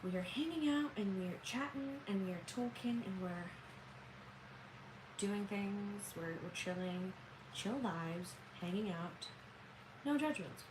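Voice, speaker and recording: A woman's voice: on the low side, noisy background. A young woman from Canada hosting a casual late-night live stream, chatting playfully with viewers while she eats at home. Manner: chatty, playful, hums and sings snatches. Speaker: livestream host.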